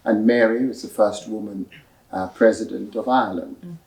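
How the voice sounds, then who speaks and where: A man giving measured, explanatory answers at a library book talk, pausing often with fillers. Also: fairly low pitch, fairly even intonation, creaky, darkish tone. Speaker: author and speaker at a book talk. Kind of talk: answering audience questions. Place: library event room. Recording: echoey room, voice from a distance.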